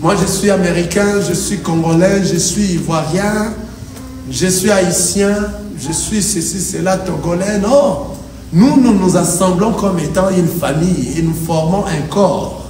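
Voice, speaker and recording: High-voiced preaching man in echoey hall. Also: unhurried, a little mellow. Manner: emphatic preaching with call-and-response. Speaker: pastor. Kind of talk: sermon. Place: church hall. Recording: echoey hall, distant mic, faint noise. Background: congregation answering amen.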